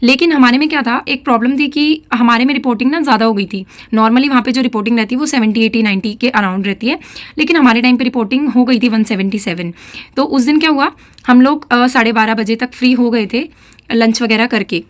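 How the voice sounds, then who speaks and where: A woman's voice: on the high side, fast talker. A young woman with a slightly sore throat giving casual, chatty advice in a vlog and often checking in with her listeners. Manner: chatty, mixes English phrases, frequent "theek hai" check-ins. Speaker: defence exam aspirant vlogger.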